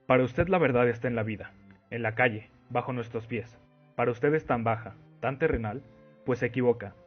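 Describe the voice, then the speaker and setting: A man's voice: mid-range voice, fairly quick, slightly rough, lightly acted. A man playing a stern Soviet censor in a radio play, scolding and pompous. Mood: lofty reproach. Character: stern, indignant Soviet censor, self-righteous official. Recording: muffled lo-fi recording with music.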